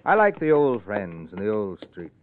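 A man's voice: on the low side, huge pitch swings, normal speed, noisy background. A man playing a charming, cynical playwright in an old radio drama, urbane and wry in manner. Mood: easygoing. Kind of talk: 1940s-50s radio drama acting. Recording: old, narrow-band radio recording.

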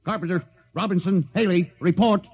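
An actor in a vintage radio drama playing an embattled spaceship captain, urgent and melodramatic, on a noisy old recording. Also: male voice, high voice, normal speed, creaky, darkish tone, slightly rough, full character voice. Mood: disbelieving.

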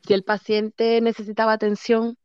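A woman in her fifties with a Dominican accent, recounting her past in a relaxed, lively way and laughing often. The sound is dry and a bit dull and lo-fi. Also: a little high, normal speed, clean tone, no room sound. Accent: Dominican Spanish. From Dominican Republic. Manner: lively, laughs often, acts out other people's lines. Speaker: guest. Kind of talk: casual storytelling interview.

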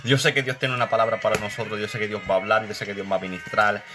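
A fervent man hosts a church live stream from his computer, greeting each viewer with a blessing. His voice sits low. Worship music plays clearly behind him. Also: flat delivery, very fast. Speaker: host of an online live interview. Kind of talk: preacher-style live stream hosting.

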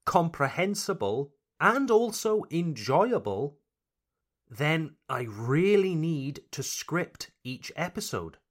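A man's voice: fairly high pitch, a bit bright. Langzame Welshe man, levendige toon. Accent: light Welsh. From Wales. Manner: carefully articulated, teacherly, encouraging. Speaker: podcast host and English teacher. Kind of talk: scripted podcast narration for learners.

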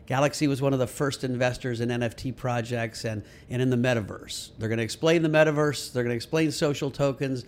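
A man's voice: mid-range voice, steady tone, quick pace, few pauses. Man hosting a casual interview. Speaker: host.

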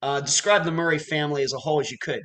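A man's voice: on the high side, steady tone, racing, a bit bright. A man from the Pittsburgh area with a slight Pittsburgh accent, hosting an interview in a chatty, rambling way. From Pittsburgh area, USA. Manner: chatty, rambling, many fillers and restarts. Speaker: podcast interviewer. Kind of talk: casual interview conversation.

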